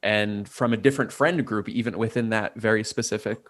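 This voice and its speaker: A man's voice: lowish voice, steady tone, fairly quick, fairly bright tone, no echo. A man, a guest in a casual interview, thinking aloud and weighing ideas from many angles. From Port Chester, New York. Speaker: guest, artist and designer.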